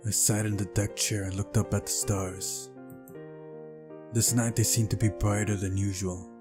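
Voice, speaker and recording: A man's voice: lowish voice, level tone, normal speed, over music. A man reading a visual novel aloud as narration, with casual side comments and an occasional stumble over the text.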